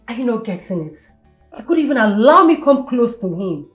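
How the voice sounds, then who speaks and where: Spoiled young princess, high swinging voice, petulant, vengeful, emphatic repeats, film melodrama, faint music. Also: female voice, sing-song, a little fast, echoey room, highs cut off. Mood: regretful, frustrated.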